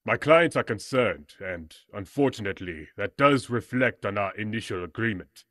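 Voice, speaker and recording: A man's voice: on the low side, lively intonation, normal speed, a bit bright, no echo, studio quality. An actor in an audio drama playing a formal, courtly businessman who is politely menacing and evasive. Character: smooth-talking, menacing male corporate client representative.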